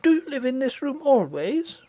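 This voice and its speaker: An innocent little storybook princess, acted out by a woman, speaking very high with big swoops of pitch. She is eager, frank and easily bewildered, full of childlike questions. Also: sing-song, moderate pace, dark tone, no echo. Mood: eager, curious. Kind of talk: storybook audiobook character voice.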